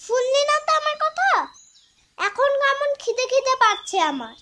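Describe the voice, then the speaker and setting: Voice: very high voice, sing-song, normal speed, sharp tone, slight background noise, exaggerated voice. A performer voicing a bossy little village sister in a cartoon, nagging and teasing. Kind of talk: Bengali cartoon acting.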